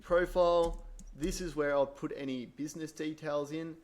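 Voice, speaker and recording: A man presenting a software tutorial in an informal, explanatory way, thinking aloud as he works through each step. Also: on the high side, steady tone, moderate pace. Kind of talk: casual screen-share software tutorial.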